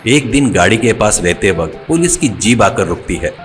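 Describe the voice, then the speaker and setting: A man's voice: lowish voice, lively intonation, normal speed. A man narrating a scripted moral story as a storyteller. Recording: music under the voice. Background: background music.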